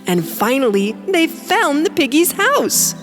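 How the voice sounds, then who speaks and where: A woman's voice: sits high, sing-song, unhurried. A woman narrating a children's fairy tale as a scripted storyteller. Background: music.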